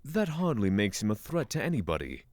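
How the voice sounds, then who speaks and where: A man's voice: fairly low pitch, huge pitch swings, a little fast, a little mellow, no room sound. A man playing a courteous, skeptical spiritual traveller in a fantasy audio drama, with formal, dryly inquisitive phrasing.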